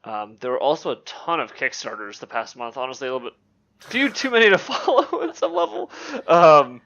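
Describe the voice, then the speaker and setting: Male podcast host, bright forward voice, casual delivery, slightly muffled lo-fi audio. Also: a little high, very animated, brisk, creaky.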